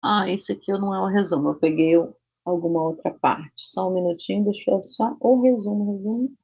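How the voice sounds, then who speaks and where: A woman's voice: mid-range voice, dark tone, husky. Carioca woman teaching over a call. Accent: Rio de Janeiro Portuguese. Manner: slow, stretched-out example words. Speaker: Portuguese teacher. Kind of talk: online language class. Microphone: video call. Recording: muffled video call audio.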